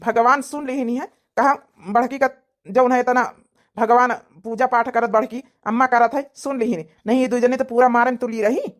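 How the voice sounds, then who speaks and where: A woman's voice: a little high, steady tone, normal speed, lightly acted. A woman voicing an earnest, emotional village man in a cartoon family drama, insistent and repetitive, with an Awadhi accent.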